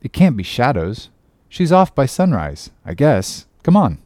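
A male reader plays a young sailor in a storybook sea tale, indignant and brisk. He speaks formally and firmly with an impatient edge, in a dry, close recording. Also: mid-range voice, big pitch swings, darkish tone, dry recording, voice pushed up. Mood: eager.

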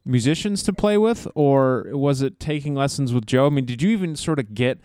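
A man's voice: mid-range voice, lively intonation, brisk, warm tone, clear, resonant tone, no room sound, studio quality. A man hosting a podcast and interviewing a musician in a friendly, chatty way. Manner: friendly, chatty, laughs easily. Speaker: podcast host. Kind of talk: conversational interview.

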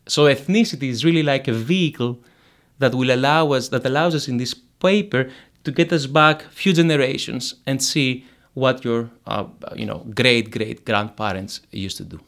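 A man's voice: mid-range voice, normal speed, fairly bright tone. Hesitant man explaining research. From Greece. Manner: hesitant, many fillers and restarts. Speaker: economics researcher, guest. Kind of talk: academic interview.

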